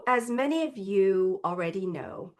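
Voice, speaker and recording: A woman reverend gives a slow, contemplative spiritual talk in a congested, stuffy voice. She speaks gently and reflectively, and often adds a soft "right?" as she explains. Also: medium pitch, expressive. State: congested, recovering from illness.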